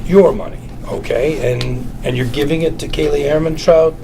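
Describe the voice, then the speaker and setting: A man's voice: a little high, steady tone, moderate pace, creaky. A man playing a glib, nervous lawyer with wheedling, stammering patter at a script table read. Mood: uneasy. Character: glib, nervous, fast-talking male lawyer. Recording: noisy room ambience.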